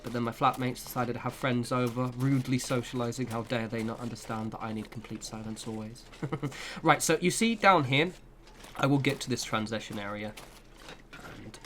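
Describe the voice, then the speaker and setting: A man's voice: medium pitch, fairly bright tone, slight background noise. A man giving a casual, rambling walkthrough of a video game from his room at home, full of asides and hedging fillers. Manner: chatty, rambling, lots of "kind of" and "sort of". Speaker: gaming video creator. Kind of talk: casual game guide commentary. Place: home room with flatmates nearby.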